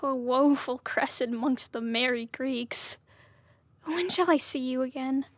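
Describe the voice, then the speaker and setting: Young woman acting passionate young Trojan woman, extremely high pitch, dramatic verse, grieving, dry audio-drama recording. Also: a little slow, dry recording. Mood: hurt, mournful. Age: young adult. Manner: impassioned, dramatic verse delivery.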